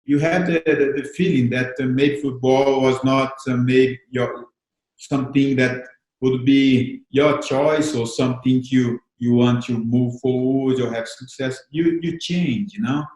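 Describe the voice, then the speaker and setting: A man's voice: mid-range voice, steady tone, measured pace, a little mellow, reverberant, rough recording. A man from Brazil with a Brazilian accent, hosting a podcast in a hesitant way with many fillers. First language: Portuguese. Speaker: podcast host. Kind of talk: casual chat.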